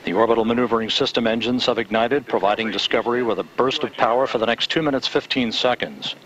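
A man giving live, measured launch commentary in a control-center broadcast, stating altitude, speed and timing. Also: medium pitch. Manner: steady, measured, detail-heavy. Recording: noisy broadcast audio with rocket roar.

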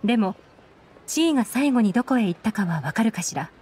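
A woman's voice: fairly high pitch, very animated, a little fast, mellow, breathy edge. A woman voicing a composed, assured female intelligence officer in a Japanese video game. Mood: calm, assured.

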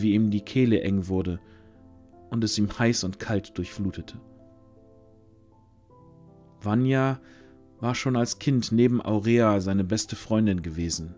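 Slightly low-voiced male storyteller. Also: a little mellow. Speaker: narrator. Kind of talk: scripted fantasy audio drama narration.

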